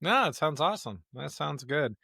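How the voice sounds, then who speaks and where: A man's voice: a little high, very animated, normal speed, creaky, bright, forceful tone, no room sound, studio quality. An American man co-hosting a podcast as a pastor, talking casually and informally in a chatty way.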